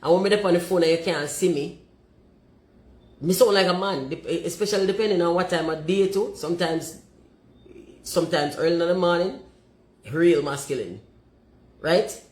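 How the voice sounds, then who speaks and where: A Jamaican woman with a deep voice, talking forthrightly and emphatically and slipping into patois. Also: moderate pace, long pauses, darkish tone, reverberant. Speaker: commentator.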